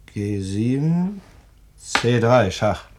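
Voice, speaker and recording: An actor playing an easygoing, tippling policeman who mutters platitudes in an unhurried way, in a radio drama. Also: medium pitch, sing-song, background noise. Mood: musing.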